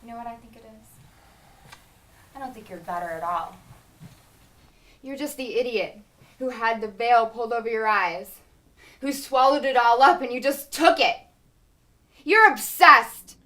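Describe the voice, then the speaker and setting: An actress playing a wife who fears for her husband, swinging from whispered accusations to shouted anger. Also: on the high side, brisk, long pauses, reverberant, close to an everyday voice. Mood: furious. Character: worried wife confronting obsessed astronaut husband.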